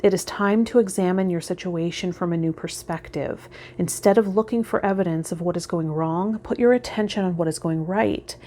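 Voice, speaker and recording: A woman hosting a vlog as a tarot reader, talking to viewers in a gentle, reflective way and offering spiritual guidance. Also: lowish voice, normal speed, few pauses. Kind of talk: casual spiritual guidance talk to viewers, partly read out.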